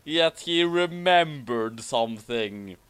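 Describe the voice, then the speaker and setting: A man's voice: medium pitch, expressive, slow pace, fairly bright tone. A man reading fan fiction aloud for laughs, mock-dramatic and amused, breaking off to add his own comments.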